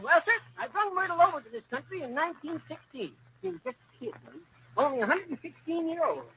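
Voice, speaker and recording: A man's voice: very high-pitched, animated, fast talker, pushed and bright, heavily acted. An actor playing a boastful, rambling tall-tale teller in a 1940s radio comedy, folksy and laughing at his own jokes. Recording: dull old radio recording, faint hiss.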